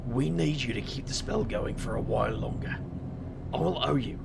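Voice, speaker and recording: A man plays a determined, tired supernatural investigator in an audio drama. His mid-pitched voice is extremely gravelly and creaky. He talks tersely and dryly, with urgency. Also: normal speed, very noisy. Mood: urgent.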